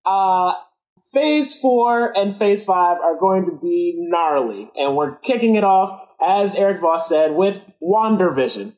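A man's voice: high voice, lively intonation, slow pace, darkish tone, poor audio. A man with a Midwestern accent, chatting and laughing while he lays out a theory step by step. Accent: Midwestern American. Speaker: panelist. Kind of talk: casual theory pitch.

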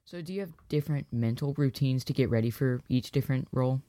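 A man interviewing a guest, asking plain, brisk questions. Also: medium pitch, dark tone.

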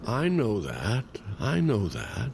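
An actor playing a guilt-worn, wry brother in an audio drama, speaking haltingly and naturalistically. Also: male voice, on the low side, huge pitch swings, creaky, darkish tone, slight background noise, full character voice. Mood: defensive, hesitant.

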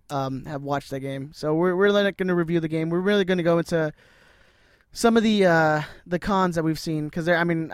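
A man's voice: fairly high pitch, clear, resonant tone, no echo. A man hosting a sports podcast in a studio, chatting casually with many fillers and laughs. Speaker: podcast host.

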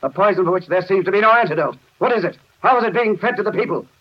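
An actor playing a stern, forceful city mayor in a 1930s radio drama, clipped and accusing. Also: male voice, high voice, animated, quick pace. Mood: angry, demanding. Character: stern, demanding city mayor under pressure. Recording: muffled vintage 1930s radio recording.

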